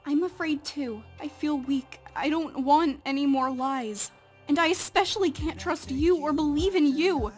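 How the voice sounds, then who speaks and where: A woman acts a young woman in an amateur game roleplay, grieving and distrustful. Her voice is high and wounded, with faint music underneath. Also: measured pace, darkish tone. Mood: hurt, fearful. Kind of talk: amateur Minecraft roleplay acting.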